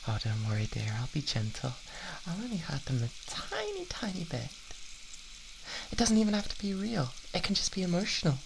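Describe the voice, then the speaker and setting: A creaky British boyfriend, acted by a man, coos possessively in an ASMR roleplay. His pitch swoops as he drawls, doting and menacing at once, over clear background noise. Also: huge pitch swings, exaggerated voice. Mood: coaxing, sinister. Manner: cooing, slow, possessive, drawn-out words. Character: obsessive, doting yandere boyfriend, soft-spoken and menacing. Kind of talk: intimate ASMR roleplay, improvised.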